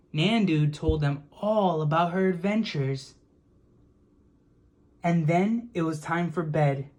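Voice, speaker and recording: A woman reading a children's picture book aloud in a deliberate, expressive storytelling manner. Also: low voice. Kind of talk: storybook read-aloud narration.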